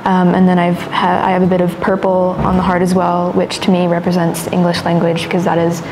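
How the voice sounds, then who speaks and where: A young woman in her late teens, a student, describing the artwork she is making at a classroom table. She speaks in a thoughtful, measured way with many "um" pauses. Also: lowish voice, monotone. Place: classroom indoors at a work table. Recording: room ambience noise.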